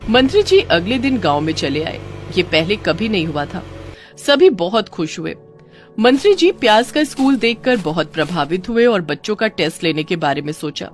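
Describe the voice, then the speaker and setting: A woman's voice: fairly low pitch, big pitch swings, normal speed, faint music behind. A woman reading scripted narration for a children's moral story.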